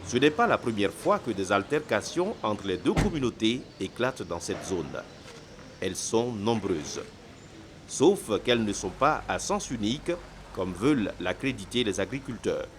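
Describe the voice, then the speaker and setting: A man's voice: on the low side, very animated, normal speed, slight background noise. A man reads scripted documentary narration as a news reporter.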